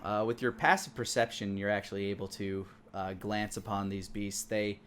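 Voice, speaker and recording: Bright-voiced man running a tabletop game. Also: on the low side, fairly even intonation, moderate pace. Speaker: dungeon master and host. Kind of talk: improvised narration of a tabletop game.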